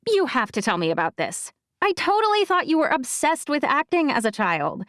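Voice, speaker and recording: A woman voicing an eager, curious young female friend in an audiobook, with excitable, incredulous questioning. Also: sits high, normal speed, dry recording, studio quality, pitched above the performer's own voice. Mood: incredulous, excited. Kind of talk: audiobook character dialogue.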